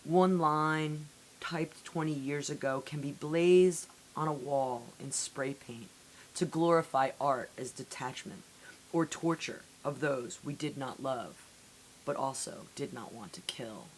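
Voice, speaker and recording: A woman reading poetry aloud in a solemn, deliberate way with measured phrasing and dramatic pauses, on an amateur recording. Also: low voice, rough recording. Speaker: poetry reader. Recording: amateur recording with audible hiss.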